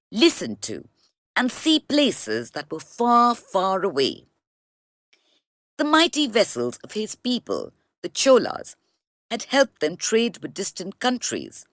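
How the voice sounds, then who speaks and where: A woman narrating a children's storybook read-aloud in a deliberate, expressive storytelling manner. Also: medium pitch, no echo, clean studio sound.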